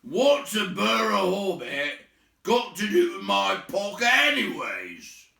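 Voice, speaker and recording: A man's voice: sits high, big pitch swings, vocal fry, reverberant, voice pushed up, heavily acted. A man voicing a big, crude, grumpy troll who bellows in coarse rustic slang in a storybook reading. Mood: suspicious, scornful. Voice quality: forceful, bellowing, drawn-out. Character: big male troll William, crude, grumpy, suspicious bully.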